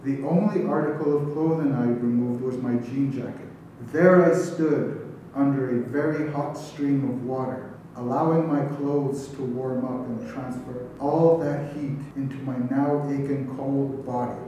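A man's voice: mid-range voice, steady tone, unhurried, mellow, muffled recording. A man reading a written first-person story aloud. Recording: distant, very echoey room, faint noise.

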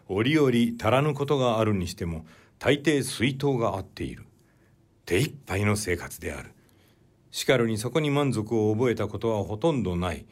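A man reading a literary short story aloud as a narrator, in a measured storytelling delivery. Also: fairly low pitch.

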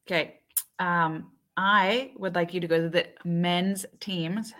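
A woman co-hosting a casual draft chat, chatty and quick to laugh. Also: fairly low pitch, fairly even intonation, a bit bright. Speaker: podcast co-host.

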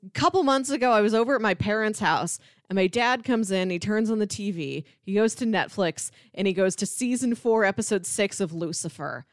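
A woman co-hosting a podcast in casual conversation. Her voice sits at a middling pitch. The sound is dry, with hardly any room on it. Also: expressive, fairly quick, few pauses, no echo. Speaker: podcast co-host. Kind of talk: casual chat, pitching shows.

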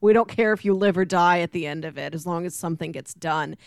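A woman's voice: lowish voice, fast, no echo, clean studio sound. A woman co-hosting a casual book discussion, playfully making her points with mock quotes and comparisons. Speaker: podcast co-host discussing a graphic novel.

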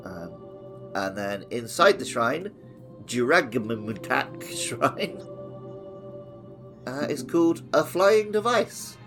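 A man's voice: mid-range voice, big pitch swings, slow, pauses often, music in the background. A man co-hosting a gaming podcast, chatting casually in a loose, conversational way. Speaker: podcast co-host.

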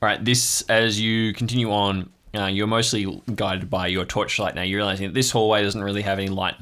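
A man's voice: on the low side, fairly bright tone, smooth. A man improvising narration as game master of an audio drama, setting scenes descriptively in the second person. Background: background music.

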